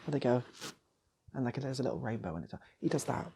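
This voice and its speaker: A man improvising a comic story in a soft, breathy ASMR style, often repeating phrases and slipping into whispers. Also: mid-range voice, expressive, fast talker, slight background noise. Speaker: storyteller, channel host.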